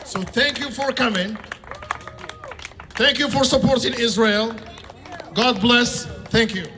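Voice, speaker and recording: Man shouting an impassioned rally speech. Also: very high-pitched, slow, long reverb tail, background noise. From Morocco. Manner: impassioned, shouting over a crowd. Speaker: rally speaker. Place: outdoor street rally.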